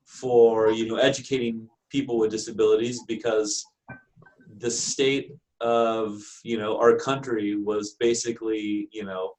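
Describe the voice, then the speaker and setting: An American man lecturing to a class as a university instructor, in a halting way padded with frequent filler phrases. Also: fairly low pitch, level tone, darkish tone, low-quality recording. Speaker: university lecturer in special education.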